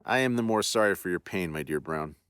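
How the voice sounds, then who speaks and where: A man voicing a sincere, regretful lord explaining himself in a hushed, measured, courteous way. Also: deep voice, expressive, clean tone, no room sound, clean studio sound. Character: sincere, regretful male lord and castle host.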